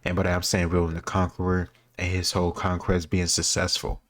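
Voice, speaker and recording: A man's voice: deep voice, monotone, a little fast, a bit bright. A man giving casual commentary on a history quiz, thinking aloud in an informal, rambling way. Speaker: host reacting to a history quiz video.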